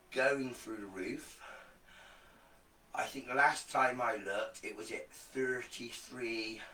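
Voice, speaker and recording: A man's voice: mid-range voice, bright, forceful tone, roomy sound, recorded from a distance, rough recording. A man with a groggy voice, chatting casually and effusively to viewers of his live stream, rambling with many fillers and repeated words. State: groggy voice after illness. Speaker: live streamer promoting an online program.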